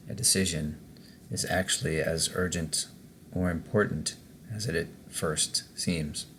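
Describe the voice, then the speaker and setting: Man, guided meditation host, very slow delivery, creaky low-end fry, measured pauses, faint noise. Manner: measured, pausing between short phrases.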